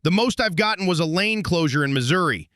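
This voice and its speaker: A man's voice: a little high, lively intonation, a little fast, a bit bright, no echo, studio quality. A man playing a brash, showy conspiracy radio host in an audio drama, with an emphatic, folksy announcer delivery. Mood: agitated, incredulous. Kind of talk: bombastic talk radio hosting in an audio drama.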